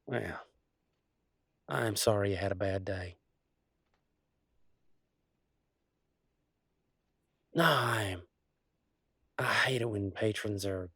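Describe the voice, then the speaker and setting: A man playing an easygoing, affectionate country werewolf boyfriend, talking in a folksy, halting way in an intimate roleplay audio. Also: lowish voice, fairly bright tone, no echo, studio quality, heavily acted. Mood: sympathetic, indignant. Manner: folksy, drawling slang, halting asides.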